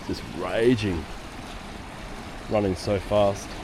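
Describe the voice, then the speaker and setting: Deep voiced guy, bit hoarse from a cold, rambling travel vlog walking thru loud city streets. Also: fairly even intonation, takes it slowly. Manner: chatty, rambling, with fillers. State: hoarse from a lingering cough. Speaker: travel vlogger. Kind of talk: walking tour commentary. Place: busy city streets outdoors. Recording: outdoor street noise. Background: city street noise and traffic.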